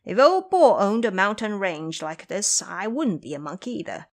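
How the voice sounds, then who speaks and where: A bumbling pig-man monster acted out by a woman for an audio drama. He whines and pleads like a sulky fool. The delivery swings up and down in pitch. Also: very animated, moderate pace. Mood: envious musing. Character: foolish, whining, pouty male pig monster disciple.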